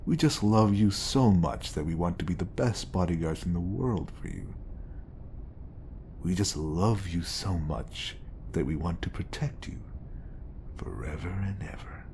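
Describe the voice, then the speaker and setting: A man's voice: lively intonation, unhurried, a little mellow, slight background noise. A man playing an obsessive, lovestruck bodyguard in an audio roleplay, coaxing and intimate, passing devotion off as professionalism. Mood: devoted. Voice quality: low, creaky. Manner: coaxing, intimate, slipping into whispers. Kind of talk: audio roleplay acting addressed to the listener.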